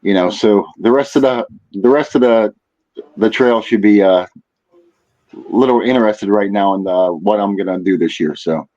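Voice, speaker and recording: A man speaking as a podcast guest in casual chat, haltingly, often repeating phrases as he thinks. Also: on the low side, dark tone, highs cut off. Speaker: podcast guest, fishing tournament organizer.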